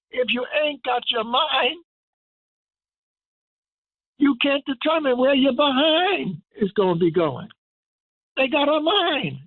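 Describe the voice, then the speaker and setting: A man's voice: high voice, husky, no room sound. Older male guest lecturer, emphatic and rising, thin dry phone-line sound. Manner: emphatic, rising to forceful declarations. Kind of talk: impassioned radio lecture.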